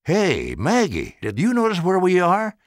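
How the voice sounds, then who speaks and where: A man's voice: high voice, huge pitch swings, measured pace, no room sound, studio quality, voice pushed up, full character voice. A man voicing a spiteful, taunting young boy in a storybook. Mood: ominous.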